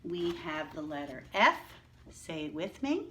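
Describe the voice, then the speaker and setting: A woman's voice: low voice, very animated, fairly bright tone. A woman teacher giving an early-reading lesson to young children, encouraging them and asking them to repeat words after her. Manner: encouraging, slow and drawn out, calls for repetition.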